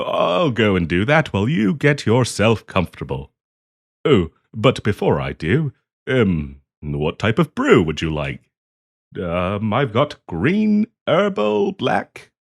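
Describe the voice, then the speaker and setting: A genteel half-dragon diplomat, acted by a man for a fantasy roleplay audio. He speaks slowly in a medium-pitched voice that rises and falls freely. He is courteous, a little flustered and eager to please his guest. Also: creaky, exaggerated voice. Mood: welcoming, flustered. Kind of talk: roleplay audio acting, addressed to the listener.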